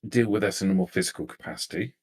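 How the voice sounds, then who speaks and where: A man voicing a poised, coolly menacing female vampire negotiator in a tabletop roleplay, with formal, measured diction. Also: sits low. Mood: cool, polite.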